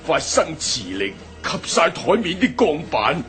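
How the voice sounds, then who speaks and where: A stammering frightened servant. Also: male voice, on the high side, sing-song, measured pace, fairly bright tone, soft background music. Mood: fearful. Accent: Hong Kong Cantonese. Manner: stammering, timid. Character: frightened male servant caught in a scheme. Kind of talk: radio drama acting.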